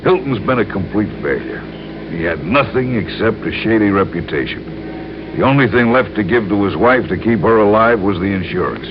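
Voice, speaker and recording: Low-voiced, careful insurance man. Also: animated. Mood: earnest, careful. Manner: deliberate, explanatory, hedging. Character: earnest, careful male insurance man briefing a colleague. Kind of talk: 1950s radio crime drama acting. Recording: muffled, noisy old radio recording.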